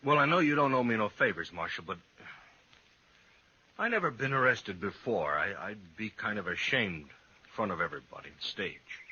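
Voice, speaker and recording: An actor playing an uneasy, pleading fugitive cowboy in a 1950s radio western, speaking in folksy, defensive phrases. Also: male voice, fairly low pitch, animated, normal speed, sharp tone, slightly rough, full character voice. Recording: dull, hissy 1950s radio recording.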